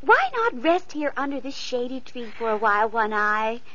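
A woman playing a gentle, kind young girl in a vintage radio play, speaking sweetly and coaxingly. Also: fairly high pitch, big pitch swings, moderate pace. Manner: sing-song, sweet and coaxing. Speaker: radio actress. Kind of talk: 1940s radio acting. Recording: muffled old radio recording.

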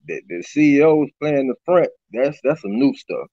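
Raspy middle-aged rapper, laid-back guest, muffled webcam audio. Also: male voice, fairly high pitch, very animated, moderate pace, warm tone, no echo. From Baton Rouge, Louisiana. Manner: loose, repeats "you know what I'm saying". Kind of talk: casual interview chat. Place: small room indoors. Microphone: webcam on a video call. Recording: dull lo-fi video call audio.

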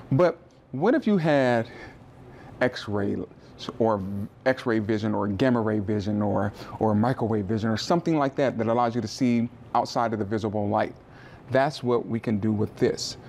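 A man in his thirties leads a relaxed tour, chatty and friendly, pausing to correct himself now and then. His voice sits at an ordinary middle pitch. A faint hum of outdoor traffic runs underneath. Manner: friendly, chatty, with small restarts and asides. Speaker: planetarium manager giving a tour. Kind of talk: informal guided tour explanation. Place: rooftop observatory outdoors, then indoor exhibit room.

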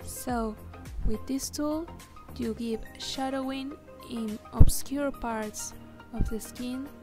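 Spanish-accented woman slowly walking thru a photo editing tutorial, a bit high voice, music under it. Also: steady tone. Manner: slow, careful, step-by-step instructions with hesitant fillers. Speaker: tutorial maker. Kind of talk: screen-recorded software tutorial. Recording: voice-over with music playing underneath.

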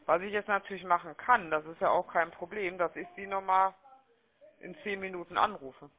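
High-pitched man chatting on the phone. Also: steady tone, moderate pace. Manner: chummy, wordy, often tags sentences with questioning "ne?" or "oder?". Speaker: phone sales agent from a call centre. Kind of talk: chatty, unscripted sales phone call. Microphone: telephone line. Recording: muffled, narrow-band phone-call audio.

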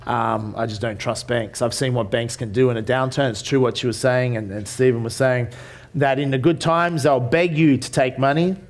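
A man's voice: medium pitch, steady tone, normal speed. A man in his forties with an Australian accent, presenting to a seminar audience in a conference room, talking casually with many fillers and restarts. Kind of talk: business seminar talk.

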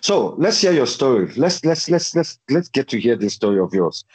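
Husky-voiced male interview host. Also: medium pitch, normal speed. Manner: relaxed, affirming listener with many mm and yeah. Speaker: talk show host. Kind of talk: hosting an online interview show. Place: indoor room at home. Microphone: desk microphone.

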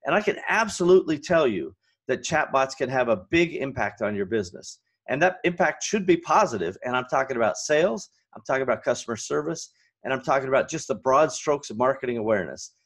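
A man in his forties hosting a solo business podcast from a home office, his voice hoarse and raspy, talking with energy and going off on rants. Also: mid-range voice, brisk. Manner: energetic, ranting, fillers and restarts. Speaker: podcast host.

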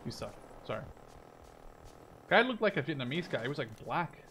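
A man's voice: medium pitch, big pitch swings, normal speed, background noise. A man giving casual, rambling, self-critical commentary while playing a game, thinking aloud. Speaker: gaming video host. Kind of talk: casual first-person gameplay commentary. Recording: voice over loud game gunfire, artillery. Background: video game gunfire and artillery.

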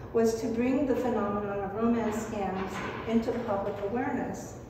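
An older woman giving a prepared, personal conference talk in a measured, reflective, somewhat halting way. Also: medium pitch. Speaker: speaker at a skepticism conference. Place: meeting room, at a lectern, indoors. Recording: very echoey hall, distant mic, noisy.

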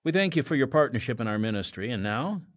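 A man hosting a radio program, giving a scripted, folksy and conversational appeal with deliberate emphasis. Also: mid-range voice, big pitch swings, no room sound, studio quality. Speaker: program host making donation appeal.

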